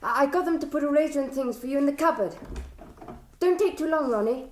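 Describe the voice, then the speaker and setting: Actress doing a needy posh-money girl, radio play, highish voice, hesitant, keen to please. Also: quick pace, slight background noise, natural voice. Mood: eager, fussing. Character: eager, needy rich young woman, mother-dominated. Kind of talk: radio drama acting.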